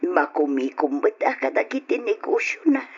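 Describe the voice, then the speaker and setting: A woman's voice: on the low side, very animated, moderate pace, a little mellow, slightly rough, exaggerated voice. An actress playing a middle-aged wife gossiping with her husband, on a lo-fi old radio drama recording. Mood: gossipy.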